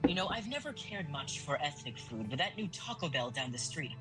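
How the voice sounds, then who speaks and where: Man playing a breezy, distracted, animated priest. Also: fairly high pitch, a little fast, music in the background, poor audio. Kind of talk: audio drama acting.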